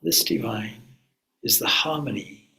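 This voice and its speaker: A man, a swami, leading a guided meditation in a calm, unhurried way with long pauses. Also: mid-range voice, gravelly.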